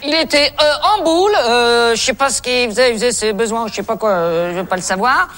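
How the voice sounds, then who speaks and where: A man acting as a fussy stage manager from a comedy sketch. He is officious and full of his own importance, yet timid. His talk is halting, with nervous repeated agreement. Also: very high-pitched, pushed and bright. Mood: flustered. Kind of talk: improvised-sounding comic character dialogue.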